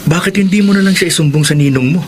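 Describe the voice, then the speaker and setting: A man's voice: fairly high pitch, very fast, a little hiss or hum, lightly acted. A man in a radio drama playing a concerned friend who questions and urges.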